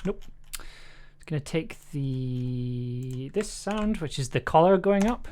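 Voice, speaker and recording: A streamer giving casual commentary while editing audio, in a halting way that often repeats words. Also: mid-range voice, expressive, darkish tone, a little hiss or hum. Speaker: streamer, audio drama producer and editor.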